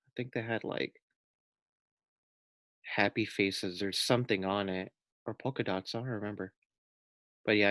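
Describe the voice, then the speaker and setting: A man reading a children's storybook aloud like a teacher, pausing for casual asides and questions to his young listeners. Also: on the low side, no room sound.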